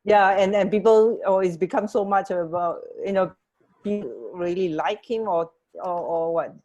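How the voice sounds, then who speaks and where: Female radio co-host, lowish voice, informal chat, musing and a little hesitant. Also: moderate pace, mellow, dull audio. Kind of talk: casual chat.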